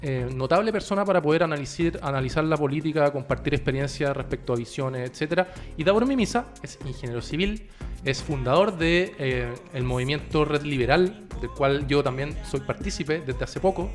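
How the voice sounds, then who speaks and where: A man with a Chilean accent hosting a conversational political interview, with formal, deliberate phrasing. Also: on the high side, animated. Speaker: interview host. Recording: faint music in the background.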